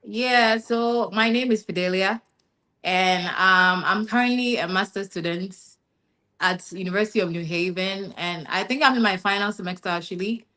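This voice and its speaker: A young adult woman from Ghana, a master's student answering interview questions in a relaxed, rambling way and often tagging on "yeah" and "basically.". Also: medium pitch, normal speed. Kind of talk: casual interview chat.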